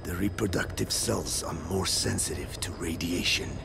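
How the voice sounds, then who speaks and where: A man playing a frail, solemn old scientist in a video game, grave and halting, with a gravelly, breathy voice that often sinks near a whisper. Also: sits low, background noise. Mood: grave doubt. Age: older. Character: frail old male scientist, solemn, guilt-ridden, defiant. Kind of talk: dramatic video game acting.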